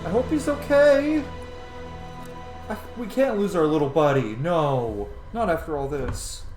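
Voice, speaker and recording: Male gaming host, thirties, relaxed conversational reactions, echoey room, light music behind. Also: a little high, unhurried. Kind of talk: casual reaction commentary. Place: small room at home. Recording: roomy, faint game music behind.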